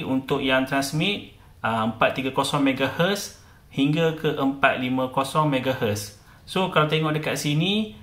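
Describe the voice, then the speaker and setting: A man with a Malaysian accent hosts an informal how-to explainer in a mid-pitched voice. He slips English words into his speech. His delivery is unpolished, with frequent hesitant fillers as he works through his points. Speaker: YouTube channel host giving buying tips.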